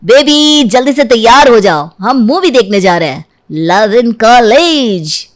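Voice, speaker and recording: A woman voicing an affectionate but jealous and sarcastic young husband in an animated story. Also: a little high, slow pace. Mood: eager, impatient. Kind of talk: animated story dialogue in Hindi.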